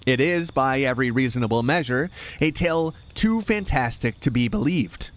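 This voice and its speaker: A man's voice: mid-range voice, lively intonation. Male host introducing a spooky story. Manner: measured storyteller delivery. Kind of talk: scripted campfire-style story introduction.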